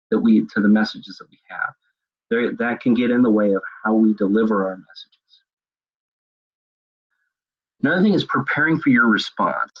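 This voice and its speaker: Husky-voiced man giving a webinar lecture. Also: medium pitch, dull audio. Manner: conversational, with frequent fillers and restarts. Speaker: web seminar presenter. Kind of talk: lecture on leadership communication.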